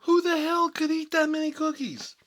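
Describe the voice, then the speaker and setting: A man's voice: extremely high pitch, little pitch movement, normal speed, a bit bright. A man playing a hot-headed, sentimental mob boss in an improvised comic monologue, talking in a rambling, repetitive, colloquial way. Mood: outraged ranting.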